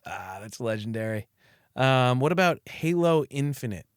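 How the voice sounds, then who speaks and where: A man hosting a casual interview, upbeat and enthusiastic with quick reactions. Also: mid-range voice, lively intonation, smooth, no echo, clean studio sound. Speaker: podcast host.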